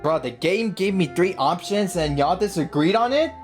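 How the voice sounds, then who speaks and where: A woman giving casual, excitable, slangy commentary as she hosts a game playthrough. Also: fairly low pitch, moderate pace. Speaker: gaming video host. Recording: game music behind.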